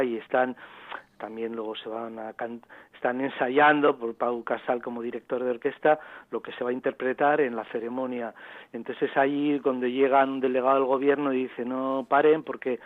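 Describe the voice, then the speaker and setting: Halting Spanish man explaining in an interview. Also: mid-range voice, no echo, narrow, tinny audio. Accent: Spain. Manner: halting, repetitive, digressive explaining. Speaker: author interviewed about own book. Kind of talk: conversational radio interview answers.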